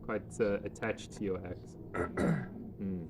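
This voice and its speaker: Guy running a tabletop game, kinda halting, casual, noisy room behind him. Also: fairly low pitch, fairly even intonation. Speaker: game master. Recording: noisy room with background ambience.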